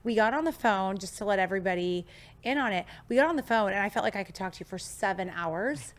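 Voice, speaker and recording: A woman's voice: medium pitch, animated, quick pace. A woman in her thirties hosting a podcast in a studio, bubbly and enthusiastic as she chats with her guest. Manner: bubbly, enthusiastic, scripted intro then chatty. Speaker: podcast host. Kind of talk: upbeat podcast interview.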